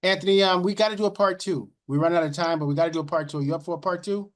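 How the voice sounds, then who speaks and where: Fast talking host guy, upbeat, kinda high voice, reads out viewer questions. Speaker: show host. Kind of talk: hosting a live Q&A interview.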